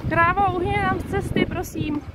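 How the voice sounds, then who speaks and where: A woman's voice: very high voice, steady tone. Young woman vlogging outdoors amid noise. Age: 20s. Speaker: travel vlogger. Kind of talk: casual vlog chat. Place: outdoors at campsites and streets. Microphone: phone at arm's length. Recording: noisy outdoor phone recording.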